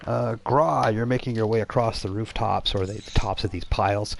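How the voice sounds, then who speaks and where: Man, low voice, game master, conversational narration, halting, thinking aloud. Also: steady tone, creaky, slight background noise. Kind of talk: improvised narration of a tabletop role-playing game.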